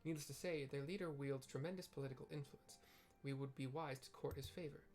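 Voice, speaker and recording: A man's voice: mid-range voice, fairly even intonation, fairly quick, a little mellow, close to an everyday voice. A man voicing an eloquent, courtly young male diplomat from a fantasy game, with formal, wordy phrasing. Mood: persuasive, formal. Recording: clear background noise.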